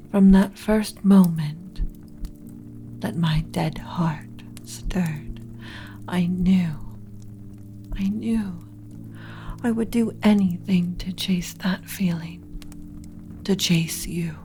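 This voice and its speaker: A woman's voice: on the low side, mellow. A woman playing an ancient, yearning undead lich queen in an intimate, whispery ASMR romance roleplay, speaking close to the mic with solemn, formal drama. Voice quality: breathy, whispery. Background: music.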